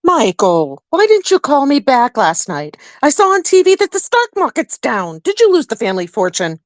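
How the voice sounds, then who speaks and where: A woman's voice: high-pitched, wildly swooping pitch, no echo, studio quality. A woman playing a nagging, old-fashioned, disapproving grandmother in an audio play, scolding in manner. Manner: scolding, slow and dramatic.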